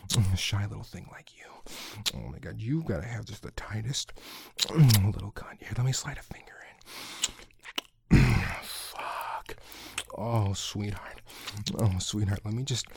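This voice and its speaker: Low gravelly whispering man. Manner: hushed whisper with frequent low murmurs and sighs; slow, commanding, coaxing delivery. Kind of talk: whispered intimate audio roleplay.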